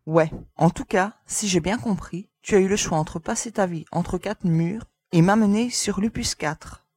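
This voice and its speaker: A woman's voice: medium pitch, a little slow, mellow, husky, no echo. An actress playing a tough, self-assured young woman agent in a French space audio drama, direct and frank. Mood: probing.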